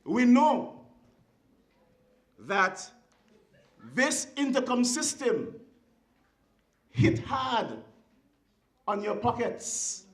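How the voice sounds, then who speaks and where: A speaker with a Kittitian accent giving a formal ceremonial speech in a deliberate, oratorical way, with emphatic short phrases. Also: medium pitch, very animated. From Saint Kitts and Nevis. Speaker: guest speaker at a school ceremony. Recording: echoey venue sound.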